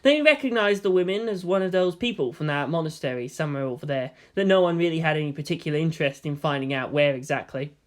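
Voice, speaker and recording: A man's voice: on the high side, fast talker, few pauses. A man reading scripted fiction aloud as the narrator.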